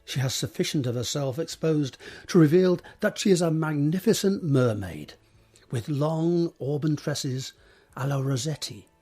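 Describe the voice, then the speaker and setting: A man reading scripted scene directions as a narrator, measured and descriptive, with deliberate pauses. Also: on the high side, animated, warm tone, faint music behind.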